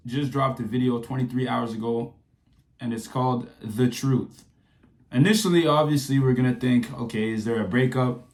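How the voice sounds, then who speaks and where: A man hosts a reaction video in a slangy, easygoing chat. His voice sits in a middling register. The recording has a noticeable roomy echo. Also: mid-range voice. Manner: slangy, laid-back, chatty. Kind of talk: casual reaction commentary.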